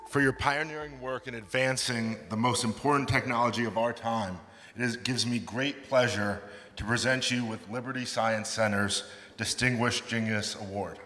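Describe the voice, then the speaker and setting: A man with a bright, forceful voice presents an award formally on stage. He sounds a little distant, with hall reverb around him. Faint music sits underneath. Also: medium pitch, fairly even intonation, normal speed. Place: stage at an indoor gala. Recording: far from the mic with hall reverb.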